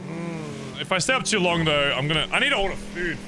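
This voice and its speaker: A man's voice: on the high side, expressive, a little fast, background noise. Laid-back swearing male streamer. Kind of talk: casual roleplay chat while gaming.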